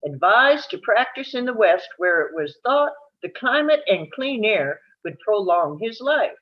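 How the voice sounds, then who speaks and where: A woman's voice: medium pitch, sing-song, a little slow. A woman reading scripted narration aloud at a live table read, telling it like a story and now and then stumbling over a word. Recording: dull, lo-fi sound.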